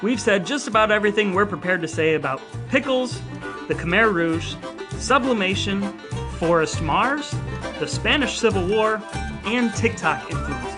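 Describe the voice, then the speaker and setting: A man's voice: sits high, animated, normal speed, over music. A man hosting a comedic podcast in a casual, playfully mock-stern and wordy way. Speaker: podcast host. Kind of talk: casual comedic banter, quizzing and signing off.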